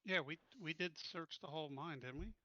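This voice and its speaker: A man's voice: on the high side, normal speed, bright, forceful tone, dull audio. A man talking casually as a player in a tabletop roleplaying game.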